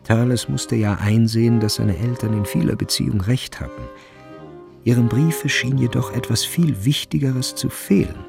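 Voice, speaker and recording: A man narrating a literary radio play in a creaky voice. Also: medium pitch, normal speed, music in the background.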